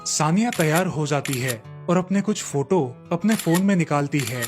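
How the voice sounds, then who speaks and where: Male storybook narrator over soft music. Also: a little high, expressive, normal speed. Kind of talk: scripted children's story narration.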